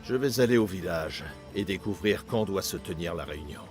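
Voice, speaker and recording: A stern, secretive older assassin from a video game. His voice is fairly deep, his delivery terse and measured. Light music plays underneath. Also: expressive. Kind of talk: dubbed video game dialogue.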